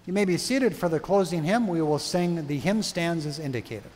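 A middle-aged man leading prayers and a blessing as pastor, reading in a measured, solemn way in a large church. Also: a little high, a little mellow. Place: large brick church sanctuary. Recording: long church reverb.

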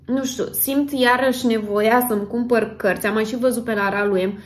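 Vlogger, female, 30s, fairly high voice. Casual, chatty, exclamatory, self-dramatizing talk to camera. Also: fairly even intonation. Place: home kitchen, indoors.

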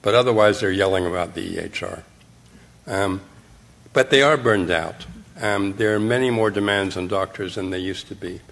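A man's voice: deep voice, moderate pace, vocal fry, a bit bright. An older man giving a conference keynote from a podium in a hotel conference room, speaking bluntly and wryly in short, punchy phrases, recorded from the audience. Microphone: podium mic, filmed from the audience.

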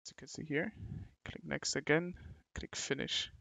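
A man presents a software walkthrough with a gravelly edge to his voice. He gives instructions in short, halting phrases, recorded close and dry as a voice-over. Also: fairly high pitch, fairly even intonation, no room sound. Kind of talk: step-by-step tutorial narration. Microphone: close mic, screen-recording voice-over.

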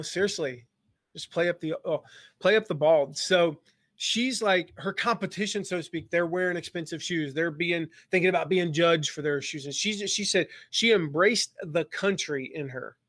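A man's voice: on the high side, normal speed, fairly bright tone, dry recording, studio quality. A man giving a casual live-stream business lesson, rambling and joking with lots of fillers and restarts. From Southern United States. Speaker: online business coach.

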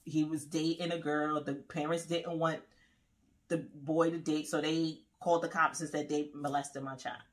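A woman's voice: low voice, flat delivery, normal speed. A woman in her forties, co-hosting a podcast in casual chat, rambling through stories and laughing often, recorded in a small living room. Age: 40s. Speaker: podcast co-host.

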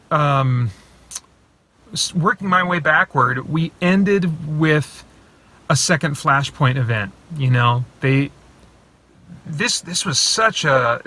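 A man in his thirties giving a casual, rambling film review from inside a parked car, recorded on a phone held at arm's length. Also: fairly high pitch. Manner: animated, rambling with many fillers and restarts. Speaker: vlogger reviewing films.

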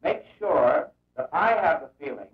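Casting: American man directing radio rehearsal, fairly high voice, very tinny vintage sound. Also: expressive, a little slow. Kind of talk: giving notes to an actor in rehearsal. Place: studio control room, over a microphone. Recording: distant, tinny 1940s film soundtrack.

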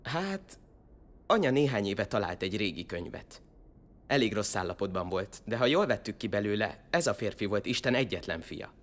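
A man's voice: lowish voice, sing-song. An actor playing an earnest, solitary, devout young man in an audio drama, sincere and a little hesitant.

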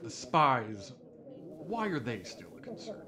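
A man's voice: mid-range voice, very animated, a little slow. A man playing a haughty, contemptuous commander giving orders to an underling in an audio drama. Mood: menacing, commanding. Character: imperious male commander, menacing and contemptuous. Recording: noisy covert room recording.

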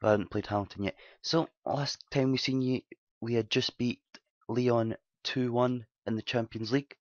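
A man with a slightly hoarse, dry voice casually talking through a football management game, rambling and correcting himself as he recaps results. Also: steady tone, normal speed, no room sound. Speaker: game commentator. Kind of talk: casual gameplay commentary. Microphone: desktop mic at home, quiet. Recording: dull low-fi mic.

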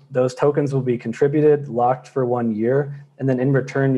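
A man in his thirties talks through a slide presentation on a webcam video call from a room at home. His voice is mellow, and he explains steadily with frequent "um"s and "kind of"s. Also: medium pitch. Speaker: crypto project presenter. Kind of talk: explaining slides at a virtual conference.

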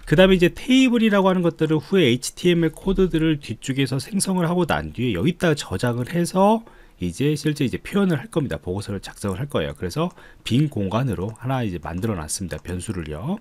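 Man explaining code, lively pitch, dry sound. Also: fast talker, hardly any pauses, dry recording. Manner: polite, step-by-step explaining. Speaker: IT instructor. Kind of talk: screencast coding tutorial, explanatory lecture. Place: indoors, voice-over on screen recording.

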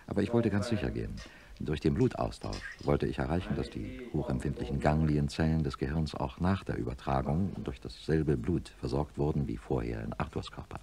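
A man playing a sober middle-aged neurosurgeon, narrating a radio drama in a measured, matter-of-fact way. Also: a bass voice, expressive, gravelly, warm tone, slight background noise, heavily acted. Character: sober male neurosurgeon professor recounting his experiment.

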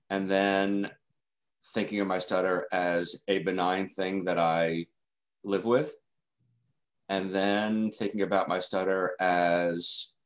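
Slow-talking man who stutters. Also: low-pitched. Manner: stutters, with repeated sounds and fillers. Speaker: guest, journalist. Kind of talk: video call interview. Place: home room.

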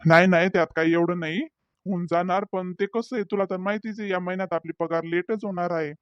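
A man voicing a young husband in a comedy cartoon, talkative and sly, with hesitant pauses. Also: high voice, steady tone, smooth, dry recording, muffled recording, close to an everyday voice. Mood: wheedling. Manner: talkative, wheedling, hesitant fillers.

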